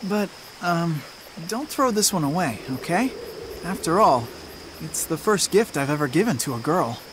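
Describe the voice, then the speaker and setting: A man's voice: on the high side, very animated, normal speed, a little hiss or hum, low-quality recording. A man playing an earnest, protective teenage boy in a video game cutscene, caring, impassioned and a little awkward. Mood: awkward, tender.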